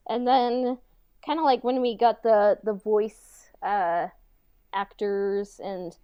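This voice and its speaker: A woman co-hosting a podcast, chatting casually in a halting, filler-heavy way on a slightly dull microphone. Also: medium pitch, steady tone, clear, resonant tone, no room sound. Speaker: podcast co-host and audio drama director. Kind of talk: casual behind-the-scenes chat.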